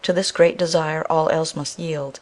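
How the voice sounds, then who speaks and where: A woman's voice: low voice, steady tone, normal speed, a little mellow. A woman narrating a scripted fairy tale as a storyteller.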